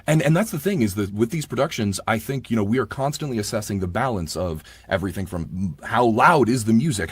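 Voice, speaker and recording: A man with a fairly low, husky voice talks casually about his craft in an interview. His delivery is earnest and informal, dotted with filler phrases, and the sound is dry and close. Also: dry recording. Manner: earnest, informal, peppered with "like" and "you know". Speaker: audiobook voice performer and producer.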